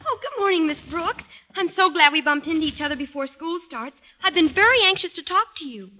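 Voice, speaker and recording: Very high-pitched earnest schoolgirl. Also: animated, brisk, sharp tone, full character voice. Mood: eager, embarrassed. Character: earnest, gushing teenage schoolgirl, principal's daughter. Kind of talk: 1940s radio sitcom acting. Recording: old radio broadcast, dull band.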